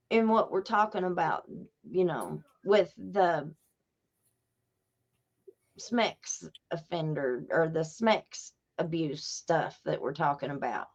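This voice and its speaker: A woman's voice: on the high side, highs cut off. A woman with an Appalachian accent telling stories casually on a podcast, folksy and emphatic, often repeating herself for effect. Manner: folksy, emphatic, repetitive storytelling. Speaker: podcast host. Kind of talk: casual true-crime podcast talk.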